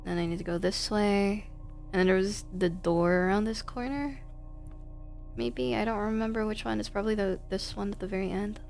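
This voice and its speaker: A woman's voice: mid-range voice, fairly even intonation, moderate pace, vocal fry, a little mellow, soft background music. A woman giving casual, informal livestream commentary on horror games, thinking aloud in a hesitant way. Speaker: video game livestreamer.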